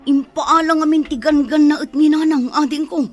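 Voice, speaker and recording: Actress as a worried elder sister, Filipino accent, high-pitched, fretting, radio serial acting. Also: female voice, fairly even intonation, a little fast, faint music behind, close to an everyday voice. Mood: distressed, pleading.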